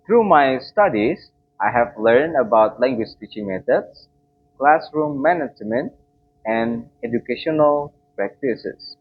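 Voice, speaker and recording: A young man whose first language is Indonesian, giving a formal class presentation in a careful, measured way. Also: medium pitch, narrow, tinny audio. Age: young adult. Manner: slow, careful, formal delivery. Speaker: student presenter.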